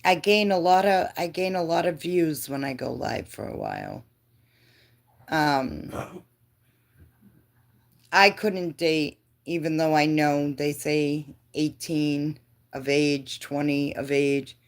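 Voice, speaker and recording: A woman's voice: low-pitched, big pitch swings, takes it slowly, gravelly. A woman in her fifties chatting casually with live viewers, laughing often and answering comments. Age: 50s. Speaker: livestream host.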